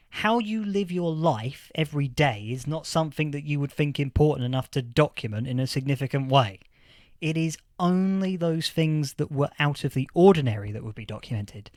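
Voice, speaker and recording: A man playing a thoughtful, earnest history professor in an audio drama interview, explaining things with halting, deliberate emphasis. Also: medium pitch, no room sound, clean studio sound, natural voice. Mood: explanatory. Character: male history professor of a post-apocalyptic future, thoughtful, earnest. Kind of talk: acted interview, lecturing explanation.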